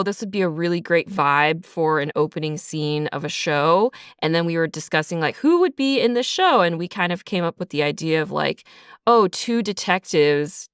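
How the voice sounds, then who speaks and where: A woman's voice: lowish voice, a bit bright, clear, resonant tone, no echo, clean studio sound. A woman writer explaining her creative process in a casual, reflective interview style. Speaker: writer and creator of an audio drama.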